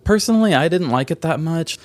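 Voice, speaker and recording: A man's voice: on the high side, animated, brisk, dry recording, studio quality. A man hosting a podcast, chatting casually about films in an informal, opinionated way with casual swearing. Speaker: podcast host. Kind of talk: casual movie chat.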